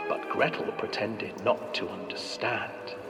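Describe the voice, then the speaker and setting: A man's voice: fairly low pitch, steady tone, unhurried, lightly acted. A man playing a sinister storyteller, reading a fairy tale in a theatrical, scripted way. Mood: ominous storytelling. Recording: echoey hall, distant, music behind.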